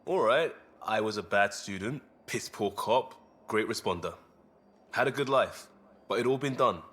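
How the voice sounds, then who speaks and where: An easygoing crisis responder in a sci-fi video game, with a fairly low voice. He is earnest underneath, but talks casually and bluntly, with a wry edge. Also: lively intonation, normal speed, a bit bright. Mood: candid, proud. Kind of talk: video game cutscene dialogue.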